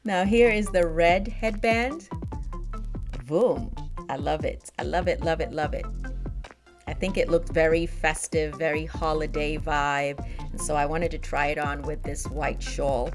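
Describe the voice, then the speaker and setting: A woman's voice: low-pitched, fairly even intonation, measured pace, music in the background. A young woman who grew up in Nigeria and the United States, chatting upbeat and laughing often as she reviews a product for her vlog. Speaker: beauty vlogger.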